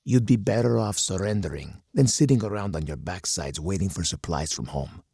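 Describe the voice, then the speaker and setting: An actor playing a hard, commanding older colonel in a strategy game, husky and gravelly, with stern, deliberate oratory. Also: male voice, low voice, animated, dark tone, dry recording, studio quality, heavily acted. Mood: grave lecturing. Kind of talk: dramatic scripted game character monologue.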